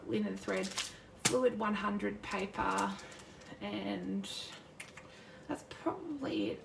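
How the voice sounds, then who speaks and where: A woman with a croaky sore throat chatting casually and rambling as she demonstrates crafting live, greeting viewers by name. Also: medium pitch, noisy background. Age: adult with a son. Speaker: crafting demonstrator, youth worker. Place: home craft desk. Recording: roomy distant mic at a craft desk.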